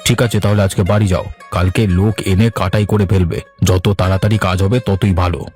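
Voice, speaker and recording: A man's voice: low-pitched, little pitch movement, fast, a little mellow, lightly acted. A man playing an enterprising, eager younger farmer brother who is respectful to his elder, in a cartoon. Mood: persuasive. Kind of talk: cartoon dialogue. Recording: music under the voice. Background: instrumental music.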